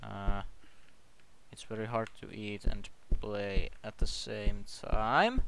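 A man's voice: lowish voice, vocal fry, clean tone. Hesitant Finnish-accented young man gaming. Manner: halting, searching for words, many restarts; startled yelps and shouting when scared. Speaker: gameplay commentator. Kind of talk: let's play commentary. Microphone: headset. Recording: loud game music behind the voice.

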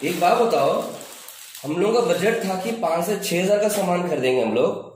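A man's voice: high voice, lively intonation, normal speed, a little mellow, slight background noise. An Indian man in his thirties in casual domestic chat, questioning and teasingly scolding. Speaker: husband and father. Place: small tiled room indoors. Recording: very echoey room.

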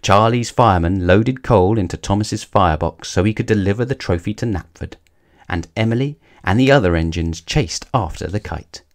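A man's voice: lowish voice, normal speed. A man narrating a children's story aloud, expressively, with dramatic emphasis on action words.

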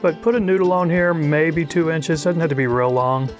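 A middle-aged man narrating a fly tying tutorial in a relaxed, chatty way, thinking aloud as he works. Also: a little high, moderate pace, faint music behind. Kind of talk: casual instructional narration. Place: outdoors on a wide river.

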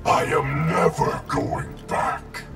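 A man voicing a grim, proud wraith ninja in a video game, gravelly and slowly threatening. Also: close to an everyday voice. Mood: cold menace. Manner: slow, deliberate, threatening delivery. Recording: music playing behind.

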